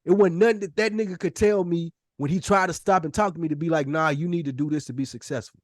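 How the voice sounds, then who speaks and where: A man's voice: fairly high pitch, steady tone, quick pace, no echo, clean studio sound. A forty-year-old man co-hosting a podcast, debating in a blunt, slangy, insistent way with plenty of swearing. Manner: argues insistently with rhetorical questions. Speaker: podcast co-host. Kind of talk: heated casual podcast debate.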